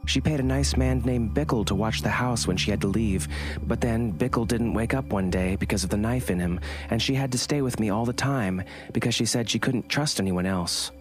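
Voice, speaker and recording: A man's voice: medium pitch, a little fast, soft background music, pitched above the performer's own voice, close to an everyday voice. A man voicing a small, frank little girl who speaks in an innocent, matter-of-fact way. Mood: earnest.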